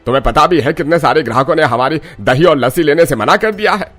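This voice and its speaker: Harsh cartoon dairy boss male actor, dropped lower register, threatening, music underneath. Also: expressive, fast talker, a bit bright. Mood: angry, threatening. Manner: scolding, threatening.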